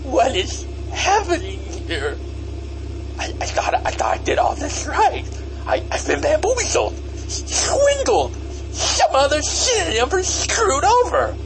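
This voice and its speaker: A man's voice: extremely high pitch, huge pitch swings, takes it slowly, exaggerated voice. A man playing a whiny, hapless young devil-worshipper in an audio comedy drama, theatrical and stammering, with vehicle noise behind. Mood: theatrical, dismayed.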